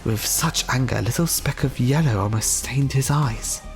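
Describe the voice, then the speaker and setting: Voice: medium pitch, normal speed, soft background music. An audiobook narrator reading a scripted story in a dramatic style.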